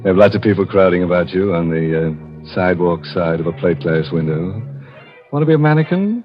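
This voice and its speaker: An actor playing a macabre, sardonic storyteller in 1940s radio horror, insinuating and mock-sympathetic. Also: male voice, sits low, expressive, fairly quick. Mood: gleefully ghoulish. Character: macabre, sardonic male storyteller, gleefully ghoulish. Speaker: narrator and host of a thriller series. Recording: muffled vintage radio recording with loud music.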